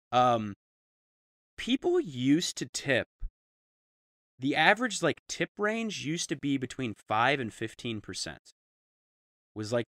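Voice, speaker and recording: Bright-voiced joking podcast host. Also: male voice, mid-range voice, no echo, clean studio sound. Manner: joking, quick banter. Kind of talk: casual comedic chat.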